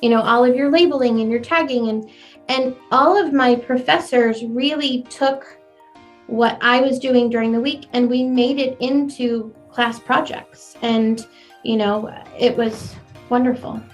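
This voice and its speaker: A chatty woman florist in her forties tells her story with a young-sounding, slightly high voice. She talks in an animated, easy way. Soft music plays behind her. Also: measured pace. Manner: chatty and animated, laughs easily. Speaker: florist and business owner. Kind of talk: conversational interview.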